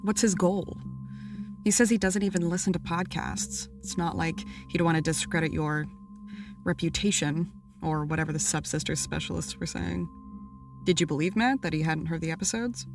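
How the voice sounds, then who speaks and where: A level-headed woman in a podcast drama, with a slightly low voice. She is measured and dry as she questions the facts, over faint music. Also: steady tone, creaky. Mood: thoughtful, questioning. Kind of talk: audio drama dialogue, conversational.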